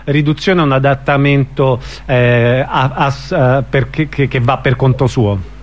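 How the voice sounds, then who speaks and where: A man's voice: medium pitch, steady tone, unhurried. A man hosting an informal interview on the radio, putting questions to his guest. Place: radio broadcast.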